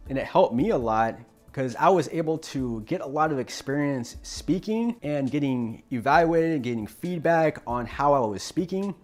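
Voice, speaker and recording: A man's voice: medium pitch, a little mellow. A young man hosting a self-help talk, speaking earnestly and encouragingly to the viewer, with occasional repeated words and restarts. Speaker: host of a self-help channel on stuttering. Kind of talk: motivational talk to camera.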